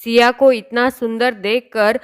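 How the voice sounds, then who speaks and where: A woman's voice: a little high, little pitch movement, measured pace, clean tone. A woman reading a scripted fairy tale as the narrator of a children's story.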